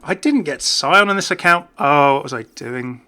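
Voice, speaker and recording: A man's voice: on the high side, animated, moderate pace, pushed and bright. A man giving casual, off-the-cuff game commentary as a YouTuber, chatty and jokey, rambling from one remark to the next. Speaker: gaming YouTuber.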